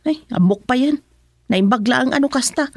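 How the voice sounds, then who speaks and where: Woman acting as fretful ilocano wife in radio comedy, highish voice, always admonishing, dry close sound. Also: expressive, normal speed, no room sound. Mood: complaining, worried.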